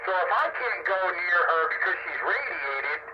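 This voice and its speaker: A man's voice: sits high, animated, moderate pace. A man hosting a casual radio chat, talking in a rambling way with repeated words, over a radio microphone. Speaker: host of amateur radio round-up. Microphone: radio microphone at arm's length. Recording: noisy, dull two-way radio transmission.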